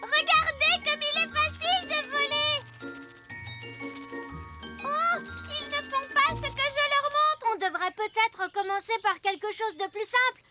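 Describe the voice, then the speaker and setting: Casting: cheerful child fairy for a cartoon, extremely high girlish pitch, keen little teacher, music bed. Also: measured pace. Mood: eager. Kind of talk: French cartoon dub acting.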